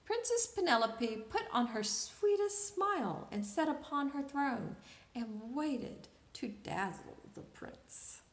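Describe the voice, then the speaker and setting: High-pitched, very animated woman reading a storybook. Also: roomy sound. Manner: expressive, pauses to ask listeners questions. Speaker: storybook reader for children. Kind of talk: animated children's read-aloud.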